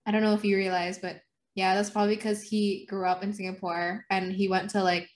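A woman's voice: medium pitch, level tone, a little fast. Giggly young woman chatting. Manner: giggly, rambling with restarts. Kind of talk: casual chat.

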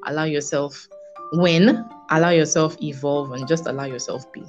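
A woman's voice: sits low, steady tone, normal speed, darkish tone. A woman with a Nigerian accent speaking as a podcast guest, conversational and emphatic, repeating phrases for stress. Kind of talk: casual conversational interview answers. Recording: dull lo-fi audio, music behind.

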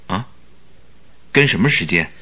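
A man playing an earnest, excitable sidekick in a Mandarin radio drama, questioning and exclaiming in an admiring, puzzled way. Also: on the low side, measured pace, husky, exaggerated voice.

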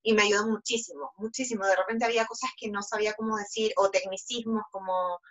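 A young woman with a Chilean accent, a pageant contestant telling her story in a lively, chatty way full of fillers. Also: medium pitch, quick pace. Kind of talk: interview storytelling.

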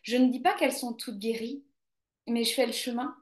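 A woman's voice: fairly high pitch, moderate pace, reverberant. A woman yoga teacher hosting a casual live stream, chatting spontaneously with viewers and hesitating often. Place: home near the coast.

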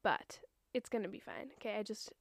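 A young woman hosting her own podcast, talking in a chatty, earnest way with a voice that is hoarse from a cold. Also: mid-range voice, fairly quick, no echo, studio quality. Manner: earnest, chatty, a little nervous. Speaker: podcast host. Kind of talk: casual solo podcast talk.

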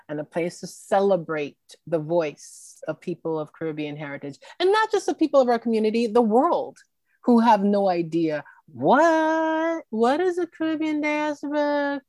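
A woman who organizes a film festival, answering interview questions in an earnest, expressive way and often adding "right?". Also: lowish voice, no room sound.

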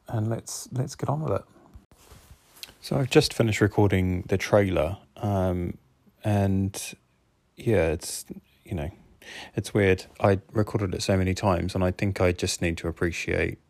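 A man's voice: low-pitched, steady tone, takes it slowly, vocal fry, pauses often, a little mellow, dry recording. A man with a New Zealand accent hosting his own podcast, musing earnestly and unscripted, thinking aloud with hesitations. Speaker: podcast host, fitness coach.

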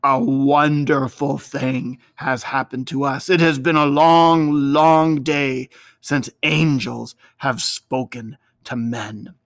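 A man voicing a grave, kindly older shepherd, deep and solemn, in a storybook tale. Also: level tone. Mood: awed. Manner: slow, solemn, reverent.

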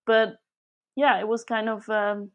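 A woman's voice: on the high side, flat delivery, a little slow, muffled recording. A young woman from Switzerland speaking as a guest in a casual interview, chatty and giggly as she thinks aloud. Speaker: podcast guest.

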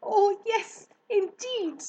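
An excitable young piglet from a children's storybook, acted out by a woman, speaking in a high, loud voice. He is innocent and earnestly polite, tripping over his words in his eagerness. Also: extremely slow, full character voice. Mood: eager, delighted. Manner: stammering, effusive, earnestly polite.

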